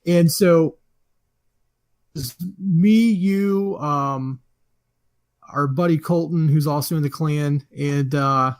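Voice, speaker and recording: A man's voice: fairly high pitch, takes it slowly, long pauses, a little mellow, no room sound. A man hosting a casual podcast, telling a long story with many fillers and easy laughs. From Florida. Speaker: podcast host.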